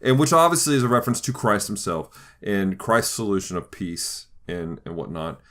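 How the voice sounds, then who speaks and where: A man hosting a conversational podcast, talking through ideas in a thoughtful, halting way with frequent restarts. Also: on the low side, expressive, fairly bright tone. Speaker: podcast host. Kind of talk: conversational podcast discussion of faith and pop culture.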